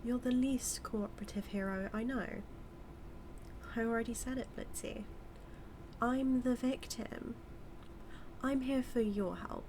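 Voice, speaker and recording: A woman's voice: a little high, fairly even intonation, mellow, slight background noise, poor audio. A woman with a British accent acting as a smug female supervillain who mocks and teases her hero nemesis in a theatrical, drawling audio roleplay monologue. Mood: exasperated, wry. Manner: mocking, theatrical, drawling with drawn-out words.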